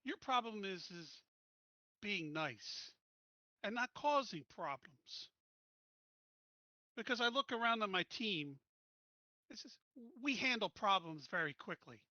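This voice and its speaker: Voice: medium pitch, lively intonation, takes it slowly, pauses often, pushed and bright, no room sound, muffled recording. A player in a tabletop roleplaying game, speaking bluntly and commandingly in character.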